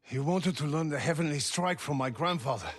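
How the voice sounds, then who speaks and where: A man's voice: on the high side, animated, fairly quick, slight background noise, natural voice. An actor playing a worried young male villager in a video game, earnest and pleading. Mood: anxious, pleading. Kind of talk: dramatic game cutscene acting.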